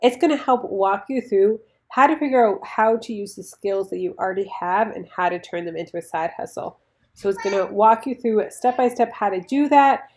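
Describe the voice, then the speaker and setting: A woman with a slightly raspy, nasal, congested voice from flu, talking casually and explaining things as an online video creator. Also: medium pitch, moderate pace. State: sick with flu and bronchitis. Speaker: YouTuber reviewing her online business income. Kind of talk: casual explanatory vlog talk.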